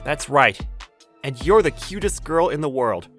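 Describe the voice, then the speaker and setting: A woman playing a gentle, protective husband. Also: deep voice, lively intonation, a little slow, faint music behind, pitched below the performer's own voice. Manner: gentle and soothing, furious when defending. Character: loving, protective young husband and father.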